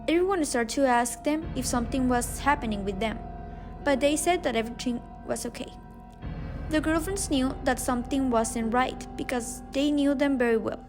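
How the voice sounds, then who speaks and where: Female narrator for a radio drama, slightly high pitch, reading a script haltingly over strong music. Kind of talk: scripted narration, read aloud.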